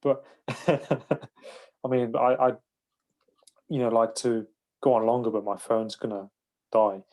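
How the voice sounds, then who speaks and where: A man's voice: medium pitch, fairly even intonation, unhurried, a little mellow. A man hosting a podcast, chatting casually and rambling with lots of fillers. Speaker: podcast host. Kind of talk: casual interview chat. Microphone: phone call.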